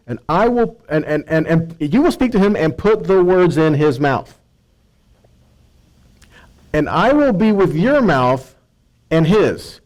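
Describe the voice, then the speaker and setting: Male Bible teacher, slightly high-pitched voice, conversational sermon style, emphatic delivery, quoted dialogue, rhetorical asides. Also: darkish tone, low-quality recording.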